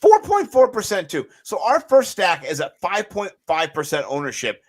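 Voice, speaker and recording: A man with a raspy voice co-hosting a casual fantasy sports show, joking around and talking in loose restarts. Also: high-pitched, moderate pace. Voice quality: raspy today. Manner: animated, jokey, rambling with restarts. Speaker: co-host.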